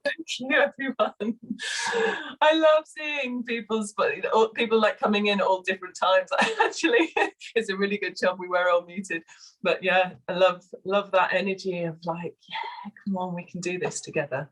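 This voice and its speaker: A soft-spoken woman with a slightly croaky voice, co-facilitating a video call, guiding a relaxation and sharing with hesitant pauses and fillers. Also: mid-range voice, low-quality recording. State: hoarse, croaky. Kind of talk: guided relaxation and casual sharing.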